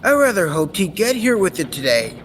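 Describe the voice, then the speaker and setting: Guy doing a eccentric radio scientist, high voice, very animated, deliberate, big echo and some noise. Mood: busy, genial. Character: kindly eccentric male scientist of the future, absorbed and reassuring. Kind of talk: old-time radio serial acting. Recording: reverberant, noisy stage-like recording.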